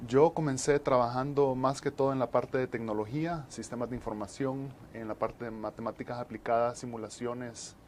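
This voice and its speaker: A man, explaining as an interview guest in measured, halting phrases. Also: medium pitch, fairly even intonation, a little mellow, a little hiss or hum. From El Salvador. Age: 40s. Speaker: interview guest, disaster management specialist.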